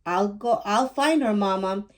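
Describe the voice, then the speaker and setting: A woman's voice: mid-range voice, unhurried, lightly acted. A woman voicing a cheerful, helpful young girl in a children's storybook. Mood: eager.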